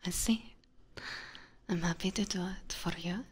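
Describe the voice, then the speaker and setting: A woman livestreaming ASMR, chatting gently to her viewers in a soft, breathy whisper close to a binaural microphone. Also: lowish voice, fairly even intonation, a little slow, a little hiss or hum. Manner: gentle, chatty, giggly, addressing chat.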